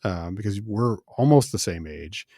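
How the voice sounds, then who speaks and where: Relaxed midwestern male podcast host. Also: fairly low pitch, moderate pace, no room sound, studio quality. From American Midwest. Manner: relaxed, friendly, many filler words. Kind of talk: casual interview chat. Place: recording studio.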